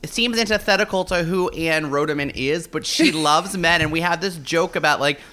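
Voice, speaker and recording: An American man co-hosting a podcast in casual banter, chatty and halting, often repeating words as he searches for the next one. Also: fairly high pitch, sharp tone, a little hiss or hum. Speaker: podcast co-host.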